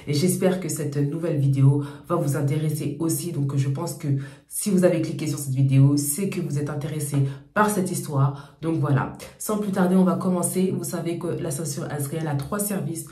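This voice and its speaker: A woman hosting a channel, talking casually and hoarsely through a cold, thanking viewers over and over. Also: low voice, brisk, warm tone, echoey room. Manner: repetitive, chatty thanks to viewers. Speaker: YouTube channel host. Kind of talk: casual talk to camera.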